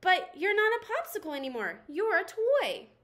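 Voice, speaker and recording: A woman's voice: very high-pitched, sing-song, moderate pace, heavy background noise, pitched above the performer's own voice. A woman voicing a naive, friendly homemade spork toy in an earnest, eager manner for a storybook.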